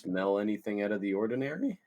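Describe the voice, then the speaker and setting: A man playing an awkward, excitable young stoner, cocky and bumbling, in a comedy script read. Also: lowish voice, quick pace, muffled recording. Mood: excited. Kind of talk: comedy screenplay table read.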